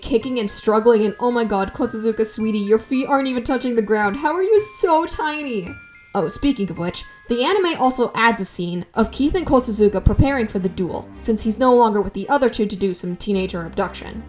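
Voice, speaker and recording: A woman's voice: a little high, a little mellow. A woman hosting a scripted video essay with wry, conversational asides, over background music.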